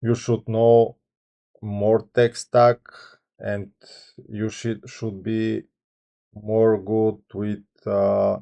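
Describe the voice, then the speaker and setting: A man in his thirties with a Bulgarian accent, a software engineer talking to camera without a script, hesitant, with many ums and restarts. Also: lowish voice, level tone, extremely slow, gravelly, pauses often, dull audio. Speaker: software engineer channel host. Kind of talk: unscripted talk to camera.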